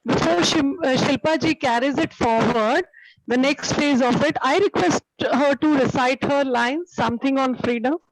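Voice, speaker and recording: A woman's voice: high-pitched, moderate pace, low-quality recording. A woman giving casual, appreciative comments. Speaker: participant commenting on poems.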